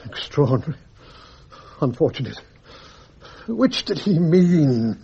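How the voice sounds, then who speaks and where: A man's voice: fairly high pitch, very animated, takes it slowly, gravelly, dull audio, a little hiss or hum, exaggerated voice. An actor playing a fussy, pompous and anxious male judge in a radio drama, with an English Received Pronunciation accent. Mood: fretful brooding. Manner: fussy, exclamatory, brooding. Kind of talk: BBC radio drama acting.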